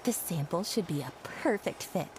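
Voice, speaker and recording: A woman's voice: on the low side, lively intonation, normal speed, noisy background, close to an everyday voice. A woman voicing a poised young fashion designer in a video game, composed and polite. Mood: composed, friendly.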